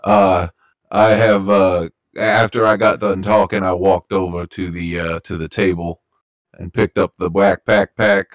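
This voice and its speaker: A man playing a tabletop role-playing game, describing his character's actions to the game master with many halting fillers. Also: low-pitched, level tone.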